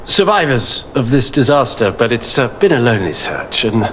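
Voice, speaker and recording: An actor playing a vain, theatrical braggart of a treasure hunter in a video game, pompous in manner. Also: male voice, mid-range voice, sing-song, moderate pace, fairly bright tone, slight background noise. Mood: boastful, indignant.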